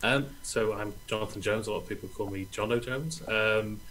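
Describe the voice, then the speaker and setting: A man's voice: on the low side, normal speed, fairly bright tone, a little hiss or hum, rough recording. A middle-aged man, answering interview questions over a video call in a friendly, chatty way. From Wales. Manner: friendly, chatty, uses "um" often. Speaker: guest, treasure searcher.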